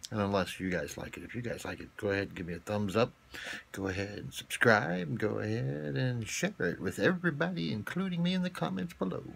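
A man's voice: lowish voice, animated, a little fast, gravelly, fairly bright tone, slightly rough. A middle-aged man chatting casually to the camera as a vlogger in a small room at home. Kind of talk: casual vlog chat to camera. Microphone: handheld or desk camera at arm's length.

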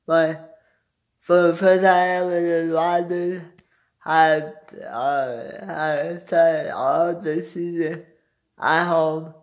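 A young man giving a casual sports recap to his phone at home, halting as he searches for words. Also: a little high, flat delivery. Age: young adult. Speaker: sports vlogger. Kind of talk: casual postgame basketball recap. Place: small indoor room at home. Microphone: phone at arm's length.

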